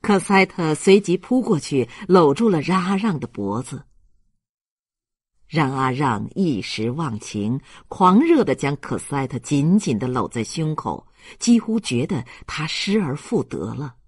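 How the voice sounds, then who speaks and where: An audiobook narrator reading a literary novel in an expressive storytelling style. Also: mid-range voice, unhurried, slightly rough, dry recording, clean studio sound.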